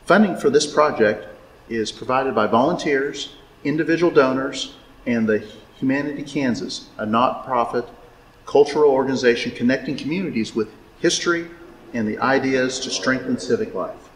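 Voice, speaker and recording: A man's voice: mid-range voice, moderate pace. Male interviewer, stumbling intro, echoey hall, background noise. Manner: reads project intro with stumbles and fillers, brief affirming replies. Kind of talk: oral history interview.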